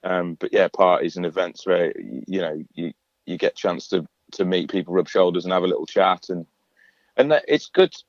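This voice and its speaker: A singer-songwriter guest in a radio interview, talking in a relaxed, chatty way with lots of fillers and restarts in his low voice. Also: normal speed, no echo, highs cut off. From England.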